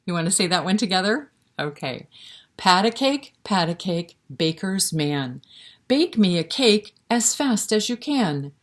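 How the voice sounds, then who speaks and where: A woman's voice: mid-range voice, very animated, measured pace. A woman leading a storytime for young children, reciting nursery rhymes and talking to them directly in an encouraging, interactive way. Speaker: children's library storytime reader.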